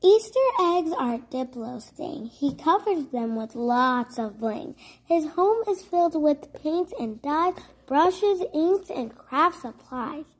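A young child reads a rhyming storybook aloud in a high voice. The pace is slow, with careful word-by-word phrasing, like a host at story time for little ones. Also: lively intonation. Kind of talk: read-aloud of a children's rhyming picture book.

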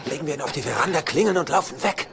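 A man's voice: a little high, lively intonation, very fast, gravelly, crowd noise. An actor playing a cheeky, mischievous hard-drinking bachelor who wisecracks his way through a German-dubbed sitcom. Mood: flippant. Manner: wisecracking, flippant.

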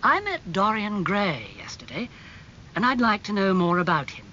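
Suave young lord, acted by a narrator, amused, very varied pitch, storybook dialogue, slightly dull sound. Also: sing-song, moderate pace, sharp tone, slight background noise. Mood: breezy. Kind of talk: dramatised audiobook dialogue.

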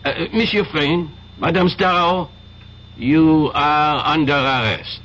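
Deliberate French police official, muffled recording. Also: male voice, fairly high pitch, fairly bright tone. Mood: calm, authoritative. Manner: polite, deliberate, hesitant pauses. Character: courteous, methodical French customs detective, adult man. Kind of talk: 1970s radio drama acting. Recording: muffled 1970s radio recording, faint noise.

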